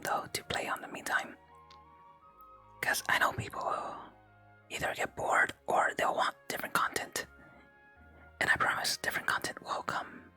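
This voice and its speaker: Hushed ASMR whisper over game music. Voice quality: soft breathy whisper. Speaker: video game streamer, host. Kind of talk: whispered ASMR let's play, reading game text aloud.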